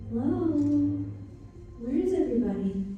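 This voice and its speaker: A woman's voice: sits high, big pitch swings, unhurried, warm tone, distant mic, highs cut off. A woman playing an anxious, confused crash survivor in a live radio play, questioning and emotional. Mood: uneasy. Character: Natasha, a confused, guilt-haunted woman injured in a crash. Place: live stage performance at a convention. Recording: echoey hall from afar, music behind.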